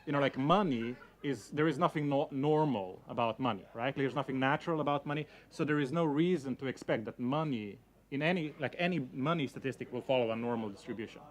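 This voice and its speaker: A young man whose first language is Slovenian talks to a room of listeners at an easy, conversational pace. He circles around his points and leans on filler words. His voice sits at a middle pitch for a man. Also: fairly quick, a little hiss or hum. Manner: hedging, many fillers like "you know, like". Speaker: speaker giving a talk. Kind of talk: casual conference talk.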